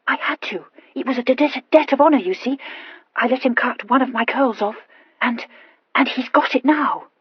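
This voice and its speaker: Woman acting a stammering high-voiced impulsive young wife. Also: moderate pace, breathy edge, no echo, highs cut off. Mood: anxious, confessing. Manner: hesitant, gushing confession with emphatic outbursts. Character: breathless impulsive young wife, anxious, earnest aristocrat.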